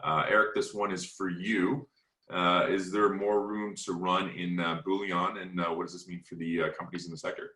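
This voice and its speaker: A man's voice: low-pitched, little pitch movement, normal speed, sharp tone. A man moderating questions as a portfolio manager on a financial conference call. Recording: roomy, echoing conference call.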